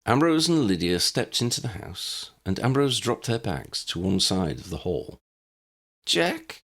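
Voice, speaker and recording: A man's voice: low-pitched, big pitch swings, moderate pace, a bit bright. A man reading scripted story narration as an audiobook narrator.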